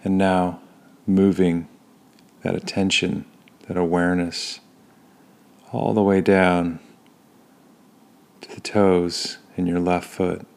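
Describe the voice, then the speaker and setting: A man leading a guided meditation in a calm, soothing manner, pausing between short phrases. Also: sits low, gravelly. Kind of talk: guided body scan meditation.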